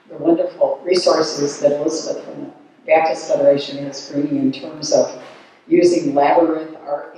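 An older woman, around her seventies, giving an earnest, measured report to a church meeting through a handheld microphone. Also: sits low, warm tone, slight background noise. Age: older, 70s. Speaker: ministry committee member giving advocacy report. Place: large church sanctuary, indoors. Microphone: handheld microphone at lectern. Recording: echoey church hall, distant mic.

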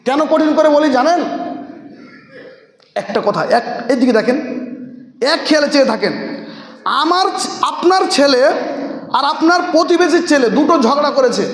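A male preacher with a very high, piercing voice delivers a scolding sermon, firing off rhetorical questions and hammering points by repeating them. He breaks into shouts again and again. The sound is roomy, with a long reverb from a large hall. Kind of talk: fiery sermon with frequent shouting. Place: mosque.

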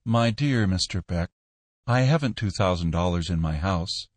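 A man voicing a cold, smooth businessman who answers calmly and without help. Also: sits low, no echo. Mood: cool. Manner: cool, purring, unhurried.

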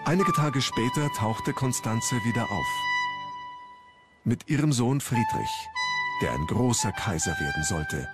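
A man's voice: medium pitch, lively intonation, measured pace, loud music behind the voice. A man reading scripted documentary narration as the narrator of a history programme.

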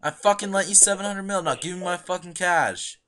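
A man's voice: high-pitched, a little fast, bright, forceful tone, slight background noise. A young man arguing on an internet voice call, aggressive and profane, demanding the same thing over and over. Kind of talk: heated argument on an internet voice call.

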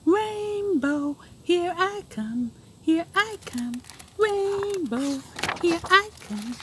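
A woman's voice: very high-pitched, expressive, extremely slow, background noise, voice pushed up, exaggerated voice. A woman voicing a gleeful, eager chalkboard eraser robber in a singsong storybook voice.

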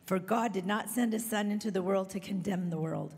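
Hoarse woman reading scripture in a hall. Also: medium pitch, brisk, a little mellow. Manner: earnest, careful reading. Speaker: scripture reader. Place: church sanctuary stage. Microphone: handheld microphone, far camera. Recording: reverberant hall.

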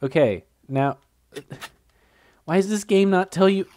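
A man's voice: sits high, measured pace, darkish tone. A young man with a cold, commenting casually on a game he is playing, reading its text aloud, swearing and laughing as he gets flustered. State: getting over a cold, sniffling. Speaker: gameplay commentator.

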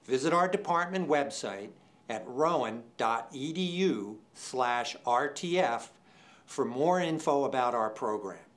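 A man's voice: mid-range voice, expressive, measured pace. Older man presenting an awards show. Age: 60s. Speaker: department chair presenting awards. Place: indoor studio set.

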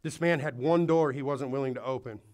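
Earnest male preacher, mid-pitched. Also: brisk, darkish tone. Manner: earnest, urging, with restarts. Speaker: pastor. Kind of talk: sermon. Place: church hall before a congregation.

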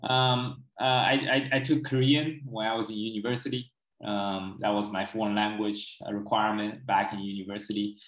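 A man's voice: lowish voice, roomy sound, poor audio. A man in his thirties, a native Chinese speaker, explaining at length in a casual interview over a video call from a small room, often adding "right?" and "you know". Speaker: guest expert. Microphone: video call, laptop camera.

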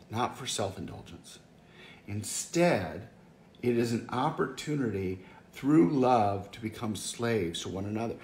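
A man in his fifties, a pastor giving an earnest, conversational home devotional from his living room, often restarting his phrases. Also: medium pitch. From Wisconsin, US. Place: living room by a fireplace, indoors. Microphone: camera or phone close up, seated. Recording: echoey room, faint background hiss.

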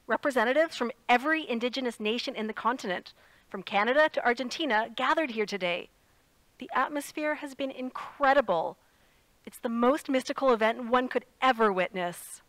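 Actress as spirited female correspondent, higher voice. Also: a little fast, a bit bright, no room sound, close to an everyday voice, rough recording. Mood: awed, descriptive. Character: spirited woman radio correspondent, playful and earnest. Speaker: radio correspondent. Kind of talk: audio drama, phone-in report.

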